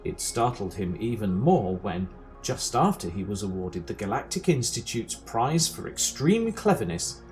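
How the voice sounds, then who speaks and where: Dry male narrator played by a man, deepish, authoritative, comic scripted reading, faint music behind. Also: very animated, darkish tone, low-quality recording. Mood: wry. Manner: dry, measured, wry.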